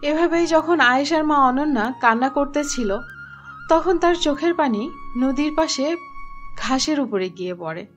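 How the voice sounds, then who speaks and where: A woman's voice: high voice, expressive, measured pace, soft background music. A woman narrator reading a scripted fairy-tale cartoon story in storybook style.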